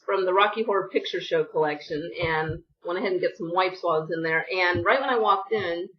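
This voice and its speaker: A woman's voice: lowish voice, fairly quick, recorded from a distance. Woman beauty vlogger, casual rambling haul chat, sniffly, a bit off-mic, dull lo-fi sound. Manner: chatty, rambling, apologetic asides. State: sniffly from allergies.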